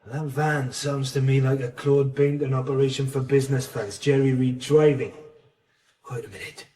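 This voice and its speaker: Echoey, quietly menacing male criminal played by an actor. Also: mid-range voice, fairly even intonation, recorded from a distance, close to an everyday voice. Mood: suspicious. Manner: measured, probing, menacing. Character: suspicious, controlled male criminal backer, quietly threatening. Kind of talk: radio drama acting. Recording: echoey room, off-mic radio scene.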